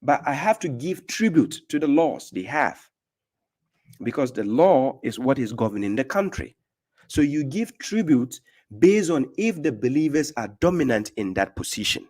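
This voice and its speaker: A man's voice: fairly high pitch, darkish tone. A man from Ghana with a Ghanaian accent, giving informal religious teaching as a preacher, emphatic, repeating himself and often checking that listeners follow. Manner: emphatic, repeats phrases, often asks "do you get my point?". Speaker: Islamic preacher.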